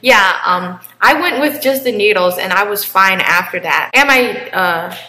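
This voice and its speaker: A woman's voice: medium pitch, animated, moderate pace, pushed and bright, roomy sound, rough recording. A woman in her twenties talking casually to camera in a rambling, chatty way with lots of fillers. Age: 20s. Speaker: vlogger.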